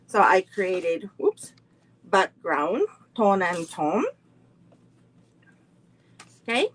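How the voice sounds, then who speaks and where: A woman's voice: fairly low pitch, sing-song, very slow. A middle-aged woman teaching a live online card making class, walking through each step unhurriedly and greeting viewers between steps.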